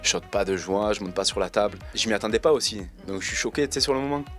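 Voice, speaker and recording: A man, answering interview questions in a relaxed, slangy way and pausing often with fillers. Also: fairly low pitch, soft background music. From Marseille, France. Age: 20s. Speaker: reality TV contestant interviewed.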